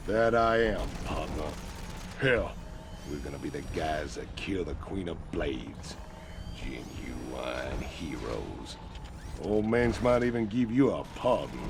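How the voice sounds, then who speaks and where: An actor playing a gruff, cynical, burly outlaw mercenary in a video game, with a gravelly Southern American accent. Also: male voice, lively intonation, takes it slowly, full character voice. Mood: cocky. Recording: rumbling game ambience noise.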